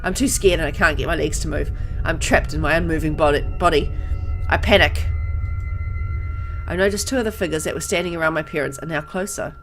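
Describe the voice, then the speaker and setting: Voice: mid-range voice, a little fast, a bit bright, faint music behind. A game player reading a visual novel aloud and adding informal commentary and reactions. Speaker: gamer, let's player.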